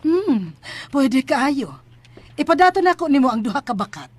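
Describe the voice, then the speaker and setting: A Filipino-accented actress playing a kind, generous middle-aged mother in a radio drama, speaking in a gentle, motherly way. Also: high voice, very animated, normal speed, background noise. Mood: cheerful, generous.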